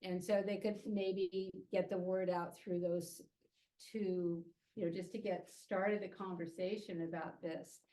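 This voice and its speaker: A school board trustee, a woman, speaking up at a community meeting with many ums and pauses. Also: lowish voice, flat delivery, warm tone, low-quality recording.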